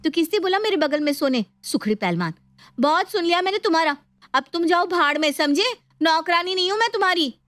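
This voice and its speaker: A woman plays a young bride in a family tale, dutiful but fiery. Her voice sits a little high over a dry, close recording. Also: expressive, a little fast, fairly bright tone, no room sound. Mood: furious. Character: dutiful young newlywed wife with a fiery streak.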